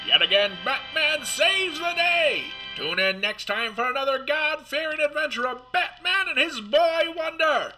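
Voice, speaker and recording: An actor playing an earnest radio serial announcer, narrating in a dramatic, emphatic old-time style. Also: male voice, extremely high pitch, big pitch swings, unhurried, few pauses, heavily acted. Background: faint music.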